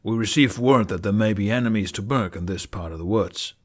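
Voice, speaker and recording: A man's voice: on the low side, fairly quick, lightly acted. Man acting a stern, demanding knight commander. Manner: demanding, interrogating.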